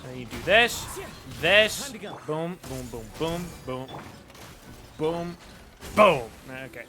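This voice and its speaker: A man's voice: on the high side, lively intonation, slow pace, pushed and bright. A man in his twenties giving casual, slangy live game commentary in a reactive, jokey manner. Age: 20s. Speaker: game streamer. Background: faint game music.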